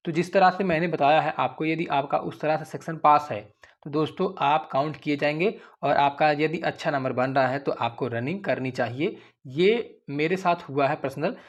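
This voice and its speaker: Young male host, slightly high voice, informal explainer delivery, addresses viewers directly. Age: young adult. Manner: repetitive, often addressing listeners as friends. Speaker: YouTube host giving exam updates.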